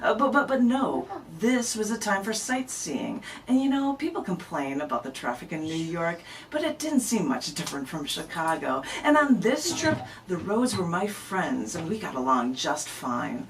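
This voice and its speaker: Low-voiced woman, distant mic. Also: big pitch swings, moderate pace, few pauses, slight background noise. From American Midwest. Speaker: poet, interview guest. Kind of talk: live reading of narrative spoken-word poetry, conversational. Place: radio station studio. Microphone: camera microphone at some distance.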